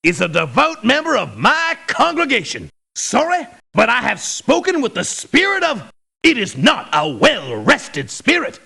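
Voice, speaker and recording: Pompous gun-toting preacher, pious sermonizing, high bright voice, gravelly, theatrical game acting. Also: male voice, wildly swooping pitch, a little slow, heavily acted. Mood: indignant, righteous. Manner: sermonizing, pompous, formal biblical phrasing. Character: pious, pompous preacher turned mercenary, ruthless beneath the scripture. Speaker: video game character voice. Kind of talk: theatrical video game voice clips. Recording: clipped lo-fi game voice samples.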